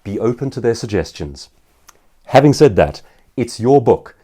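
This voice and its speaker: A man's voice: medium pitch, expressive, normal speed, warm tone. A man giving an informal instructional talk as an author and speaker, articulate and emphatic in his phrasing. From South Africa. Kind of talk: informal instructional talk answering viewer questions.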